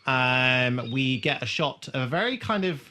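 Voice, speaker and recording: A man's voice: mid-range voice, lively intonation, measured pace, pushed and bright. Casual male podcast co-host. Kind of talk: casual rewatch podcast chat.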